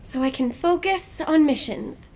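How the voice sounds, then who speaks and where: An actress playing a young, naive and cheeky female soldier in video game dialogue, talking in an expressive, informal, girlish way. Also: high voice, unhurried, slight background noise. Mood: subdued.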